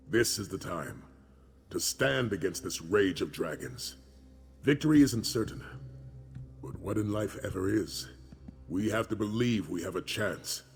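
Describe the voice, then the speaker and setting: An actor playing a grave, wise dragon-hunter commander in a video game, speaking in a measured, solemn, formal way. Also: male voice, low-pitched. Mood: resolute, hopeful. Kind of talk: video game dialogue acting. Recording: music behind the voice.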